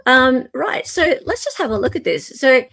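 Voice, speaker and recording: A woman's voice: high voice, sing-song, moderate pace, fairly bright tone. A woman with an Australian accent hosting a live show in a casual, chatty and playful way. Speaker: host of a live commentary show. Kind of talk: casual live chat commentary.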